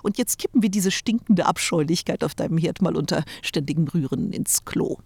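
A woman's voice: sits low, fairly quick, vocal fry, a little mellow, a little hiss or hum. A woman playing a teasing, flirtatious storyteller and confidante in a comic audio drama, cooing pet names theatrically. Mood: saucy, encouraging.

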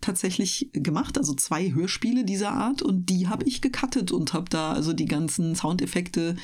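A woman's voice: lowish voice, animated, normal speed, a little mellow. A woman chatting casually and explaining things as a guest in a radio interview.

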